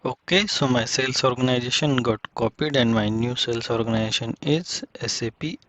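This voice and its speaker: A man giving a casual step-by-step software tutorial, speaking in short, halting phrases as he works through the screen. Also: medium pitch.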